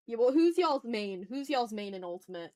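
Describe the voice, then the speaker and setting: Playful, higher-pitched woman streaming casually. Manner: animated, slangy, sweary, teasing. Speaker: livestream host and artist. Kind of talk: casual art livestream chat, reading and answering chat messages.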